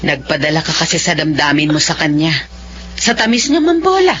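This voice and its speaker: An actress playing a frank, fiery older sister in a radio drama, speaking emphatically. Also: female voice, low voice, big pitch swings, fairly quick, a little hiss or hum. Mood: admonishing. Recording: muffled radio drama with faint music.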